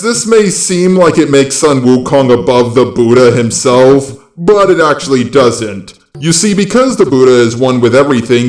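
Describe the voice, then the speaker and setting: A man's voice: mid-range voice, darkish tone, reverberant. A man hosting a video, reading scripted explainer narration. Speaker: video host.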